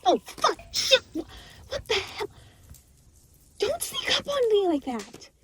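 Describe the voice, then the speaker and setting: A woman's voice: very high-pitched, huge pitch swings, slow pace, slight background noise, exaggerated voice. A woman playing an aloof, exasperated demon in an audio roleplay, talking to the listener in a dry, sarcastic, weary way. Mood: startled, annoyed. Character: aloof, exasperated demon, reluctantly babysitting a new soul.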